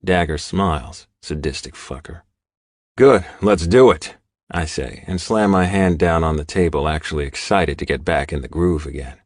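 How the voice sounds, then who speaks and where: A man narrating gritty first-person fiction as an audiobook, with an intense, deliberate delivery. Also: very deep voice, no room sound, clean studio sound.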